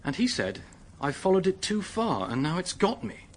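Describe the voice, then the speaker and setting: Earnest, flustered English secretary, animated. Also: male voice, high-pitched, normal speed, slight background noise. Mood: puzzled, narrating. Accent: British. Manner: polite, hesitant. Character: earnest, flustered young English male secretary, helpful and anxious. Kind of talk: radio drama acting.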